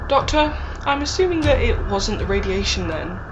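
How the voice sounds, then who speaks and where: A woman's voice: mid-range voice, big pitch swings, normal speed, heavy background noise, close to an everyday voice. A woman playing a sceptical young travelling companion in an audio drama, casual and questioning in her delivery. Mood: puzzled. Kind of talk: fan audio drama acting. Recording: dull lo-fi microphone.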